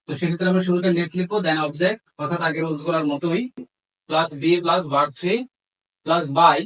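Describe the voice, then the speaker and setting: Male teacher, Bangladeshi accent, slightly high voice, methodical step-by-step explanation with examples dictated word by word. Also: steady tone, moderate pace, a bit bright, rough recording. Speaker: English grammar teacher. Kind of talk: instructional lesson mixing Bengali explanation with English examples.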